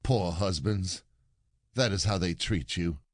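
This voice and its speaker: An actor playing a jealous, gloating peasant husband in a classic comedy audio play, sardonic and declamatory. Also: male voice, low-pitched, slow, no echo, clean studio sound. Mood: bitter muttering.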